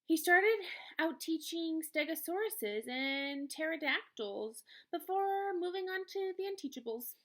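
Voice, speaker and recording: A woman's voice: extremely high pitch, animated, measured pace, fairly bright tone, voice pushed up, heavily acted. A woman voicing an evasive, wry eighth-grade boy in a children's novel, hesitant and defensive. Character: evasive, sardonic eighth-grade boy narrating his life. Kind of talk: children's novel read-aloud.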